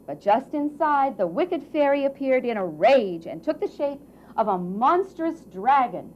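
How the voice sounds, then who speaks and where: A woman narrating a children's fairy tale at a live puppet show, with dramatic, drawn-out phrasing that swells and hushes for emphasis. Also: sits high, very animated. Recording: dull, noisy live event recording.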